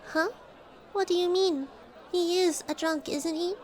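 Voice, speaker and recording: A woman's voice: very high voice, steady tone, unhurried, darkish tone, slight background noise. A woman voicing a haughty young yokai girl in a radio drama, curt and dismissive. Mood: disdainful.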